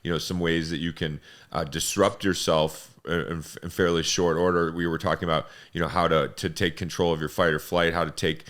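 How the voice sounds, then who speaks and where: A man's voice: deep voice, level tone, fairly quick, few pauses, fairly bright tone. A man hosting a conversational motivational discussion, reflectively restating and building on points. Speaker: host.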